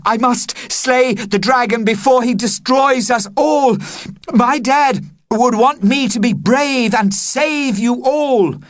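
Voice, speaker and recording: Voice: mid-range voice, slow pace, dry recording, clean studio sound, pitched above the performer's own voice, lightly acted. A reader voicing a defiant young boy declaiming as a dragon slayer. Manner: declaiming boldly.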